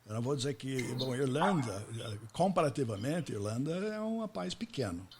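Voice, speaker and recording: A man's voice: mid-range voice, big pitch swings, moderate pace, background noise. Man chatting in Portuguese. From United States. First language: English. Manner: chatty, checks in with tag questions. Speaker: podcast host. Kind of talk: casual interview chat.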